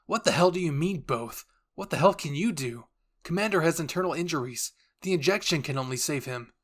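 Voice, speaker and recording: A man's voice: fairly high pitch, animated, fairly quick, fairly bright tone. A man voicing a panicked, loyal young soldier in an anime roleplay, urgent and agitated. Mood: angry, arguing. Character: panicked, loyal young male soldier carrying a wounded commander.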